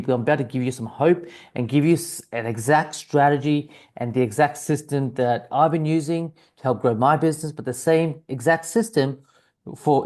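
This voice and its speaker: A man with an Australian accent, a business coach talking straight to camera in a conversational pitch that repeats key phrases and uses frequent fillers. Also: darkish tone. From South Africa, raised in Australia.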